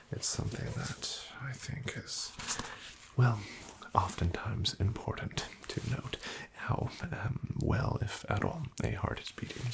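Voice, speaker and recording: Slow whispering man, gravelly. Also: on the low side. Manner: slow, hushed and wryly deadpan, with soft fillers. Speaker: ASMR roleplay host playing a doctor. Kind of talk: whispered ASMR roleplay.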